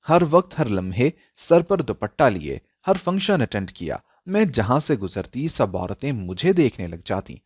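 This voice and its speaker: A man reads a scripted dramatic story. His delivery is expressive and emotional, with animated pitch movement. The recording is dry and close. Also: no room sound. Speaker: story narrator.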